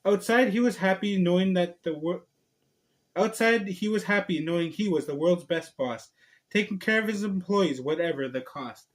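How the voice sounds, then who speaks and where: A man's voice: sits high, moderate pace. A man reading a storybook aloud and chatting casually to viewers, with a playful, giggly manner.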